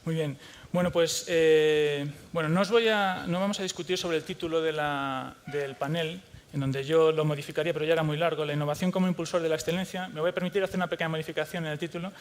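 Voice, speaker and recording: A man's voice: fairly high pitch, steady tone, fast talker, a little hiss or hum. Man moderating a panel in a reverberant hall. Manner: hesitant, many fillers and restarts. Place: conference hall.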